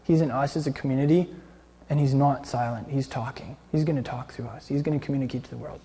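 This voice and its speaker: A man's voice: medium pitch, steady tone, fast talker, mellow, slightly rough. A man giving an informal, conversational sermon in a colloquial, earnest way, often checking in with listeners. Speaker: preacher. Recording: long hall reverb.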